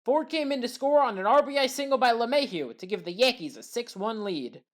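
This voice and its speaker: A woman giving a scripted play-by-play recap of baseball highlights in a measured, factual way. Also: expressive. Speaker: highlights commentator.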